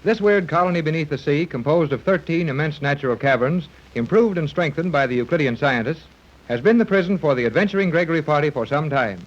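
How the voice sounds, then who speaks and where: A man's voice: a little high, lively intonation, fast. A man narrating a 1930s radio serial, formal and dramatic, on a dull vintage recording. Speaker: announcer, narrator.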